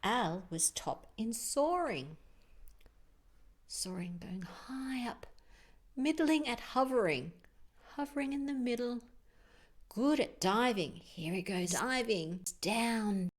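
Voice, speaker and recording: Female storyteller for preschool picture books: slow delivery, lively intonation, chatty explanatory asides and questions to the child. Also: on the high side. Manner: expressive, explains pictures, puts questions to young listeners.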